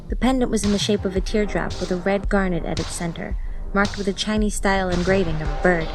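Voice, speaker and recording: A woman reading scripted audiobook narration in an expressive storytelling manner. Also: mid-range voice. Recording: music playing behind the voice. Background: music.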